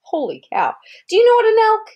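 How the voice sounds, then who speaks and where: A woman's voice: very high voice, wildly swooping pitch, brisk, lo-fi sound. An American woman reading a children's article aloud like a parent, expressively, with explanatory asides and questions to check understanding.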